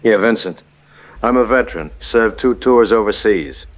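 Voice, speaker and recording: Man playing a low-voiced, resolute veteran caller. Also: moderate pace, muffled recording, natural voice. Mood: grave, emphatic. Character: grave, resolute male military veteran caller. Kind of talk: radio call-in drama acting.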